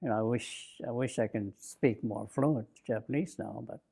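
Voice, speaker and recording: A man in his nineties from Bainbridge Island, Washington, telling his own memories in an oral history interview, slow and reflective, halting with fillers and restarts. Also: medium pitch, creaky, dark tone, husky. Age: 90s. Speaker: interviewee, WWII-era Japanese American. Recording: interview recording.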